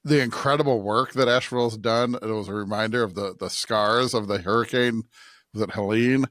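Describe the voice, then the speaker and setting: A man's voice: on the low side, brisk, a bit bright, dry recording, clean studio sound. A man co-hosting a church podcast in casual, conversational talk. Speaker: podcast co-host and pastor. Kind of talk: casual chat.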